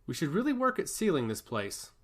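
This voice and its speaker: A man playing a calm, measured king and father in a fantasy audiobook. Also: fairly high pitch, voice pushed up. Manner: measured, unruffled.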